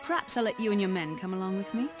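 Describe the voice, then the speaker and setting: A woman's voice: mid-range voice, animated, very fast, over music, natural voice. An actress playing a cocky, sly female pirate captain in a game cutscene, teasing and tough. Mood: shrewd. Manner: teasing, bold. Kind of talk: video game cutscene acting.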